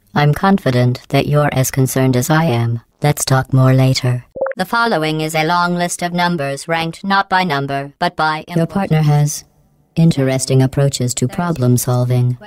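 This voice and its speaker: An actress voicing a cold, sarcastic female AI who runs a test facility, speaking in a deadpan, mock-polite, passive-aggressive tone as video game dialogue. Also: low-pitched, smooth, no echo.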